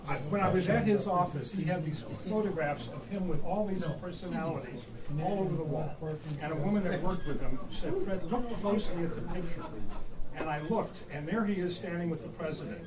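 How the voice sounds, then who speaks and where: Man telling stories over loud crowd noise. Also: sits high, fairly quick. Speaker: club member, former film editor. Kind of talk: casual anecdote telling. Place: club luncheon in a busy room. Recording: distant voice in loud crowded room.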